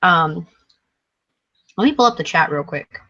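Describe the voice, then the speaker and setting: A woman's voice: low voice, lively intonation, measured pace, pushed and bright. A tired woman who is losing her voice, co-hosting a casual live book chat in a rambling, hesitant, self-correcting way. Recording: dull lo-fi stream audio.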